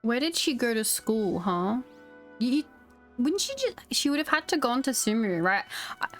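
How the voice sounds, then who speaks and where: A woman's voice: fairly high pitch, sing-song, normal speed, soft background music. A woman streaming a video game, chatting casually with her viewers as she plays. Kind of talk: casual live stream commentary.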